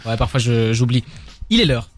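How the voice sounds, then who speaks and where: A man's voice: medium pitch, normal speed. A man hosting a casual rap debate talk show, French not his first language, often restarting his sentences. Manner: animated, stumbling restarts. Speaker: podcast host.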